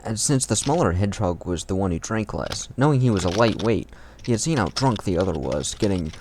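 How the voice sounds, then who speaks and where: A man with a lowish voice reads fan fiction aloud for laughs. He is casual and amused, swears freely and breaks off to comment, over faint noise with clicks and typing from a desktop setup. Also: animated, a little fast. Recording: noisy home recording with clicks and typing.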